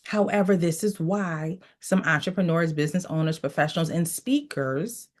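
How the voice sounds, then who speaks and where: Low-pitched preachy woman coaching. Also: moderate pace, darkish tone. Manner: preachy, repeats phrases, tells listeners to write things down. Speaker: mindset coach. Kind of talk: motivational teaching session.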